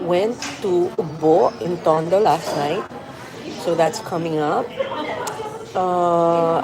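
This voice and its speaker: A woman's voice: lowish voice, low-quality recording. Chatty woman streaming from a busy café. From Philippines. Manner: chatty, rambling, reads viewer comments aloud. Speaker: vlogger live-streaming. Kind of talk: casual live-stream chat. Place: busy coffee shop. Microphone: phone on a mobile hotspot. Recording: crowded café chatter behind. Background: café crowd chatter.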